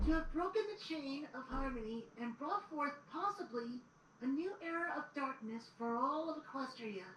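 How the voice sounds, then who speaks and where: Woman voicing a high-pitched young boy dragon. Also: expressive, normal speed, fairly bright tone. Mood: earnest storytelling. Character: young boy dragon assistant reading history aloud. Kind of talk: audio drama storybook narration. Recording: roomy, distant, noisy replayed audio.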